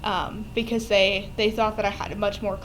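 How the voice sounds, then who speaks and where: A woman's voice: mid-range voice, level tone, normal speed, slight background noise, rough recording. A woman from Kentucky with a light country accent, giving chatty, reflective interview answers.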